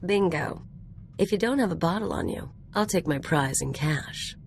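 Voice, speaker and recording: A woman's voice: fairly low pitch, animated, normal speed, a little mellow, slight background noise. A woman voicing a cocky, flirtatious rogue in a video game, casual and teasing with a bit of swagger. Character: cocky, flirtatious female rogue with a shady past.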